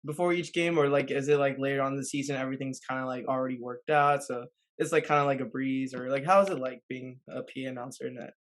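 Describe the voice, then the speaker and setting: A man's voice: mid-range voice, fairly even intonation, fast talker, few pauses. A man interviewing in a casual, chatty way, with loose, slangy, youthful phrasing. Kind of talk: casual chat.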